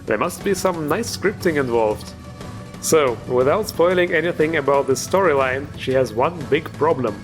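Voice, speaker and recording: A man's voice: fairly high pitch, animated, moderate pace, soft background music. A man hosting a video game mod show, giving informal, enthusiastic commentary with a joking streak. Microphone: voice-over recorded over gameplay music.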